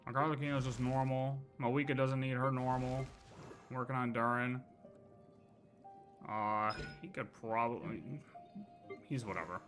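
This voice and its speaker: A man's voice: medium pitch, fairly even intonation, measured pace, pauses often. A man giving casual, rambling livestream gameplay commentary, often questioning and answering himself. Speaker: game streamer. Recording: game music behind voice.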